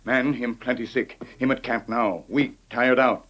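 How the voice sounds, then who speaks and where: A loyal, stoic scout sidekick in an old radio western. The voice is mid-pitched, with stilted broken English in short, clipped phrases. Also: male voice, fairly even intonation, measured pace, fairly bright tone. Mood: earnest, stoic.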